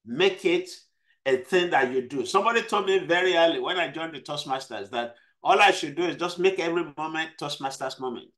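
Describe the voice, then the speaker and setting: A man's voice: on the high side, a little fast, fairly bright tone. Emphatic man with a South Texas accent. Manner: emphatic, repeats key phrases. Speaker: club member giving a speech. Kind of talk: motivational club speech. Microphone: video call.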